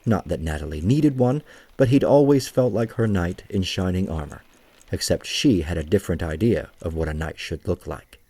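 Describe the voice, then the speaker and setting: A man with a very low, mellow voice narrates a scripted story. His tone is dark and husky, and he tells it in a measured, gentle way, as an audio drama narrator. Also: animated.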